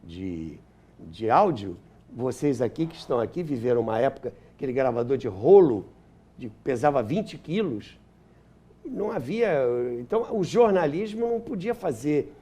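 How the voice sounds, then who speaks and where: A man's voice: mid-range voice, big pitch swings, normal speed, warm tone, husky. An older man with a Brazilian accent telling anecdotes and explaining history as an interview guest, repeating phrases for emphasis.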